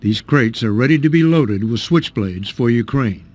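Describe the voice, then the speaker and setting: Guy reporting the news, middle pitch, crisp, asks pointed questions. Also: expressive, moderate pace, a little mellow. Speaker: television correspondent.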